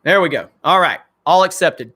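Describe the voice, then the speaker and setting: A man's voice: on the high side, lively intonation, moderate pace, pushed and bright. A man with a crackly voice hosting a casual livestream, reading viewer comments and answering in a blunt, joking way with some swearing. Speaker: channel host.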